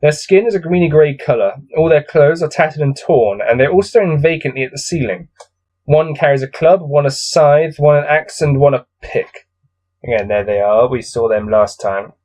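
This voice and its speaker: A man's voice: a little high, moderate pace, darkish tone, low-quality recording. A man reading a gamebook aloud as host of a let's-play, musing to himself with casual, self-deprecating asides.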